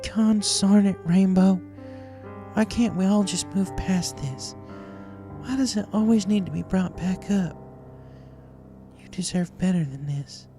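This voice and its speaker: A protective country farm mare, played by a woman, pitched up well above a normal talking voice. The manner is blunt, folksy and exasperated, over a music bed in a fan fiction reading. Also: unhurried, long pauses, warm tone, natural voice. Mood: weary, frustrated.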